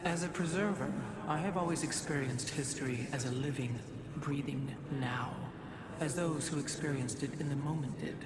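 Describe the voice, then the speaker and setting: A man plays a devout alien lorekeeper with a fairly high voice over heavy background noise. He is stern and formal, lecturing in video game dialogue. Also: normal speed, close to an everyday voice. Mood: proud, reflective. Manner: formal, didactic. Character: stern, devout alien keeper of memories, male.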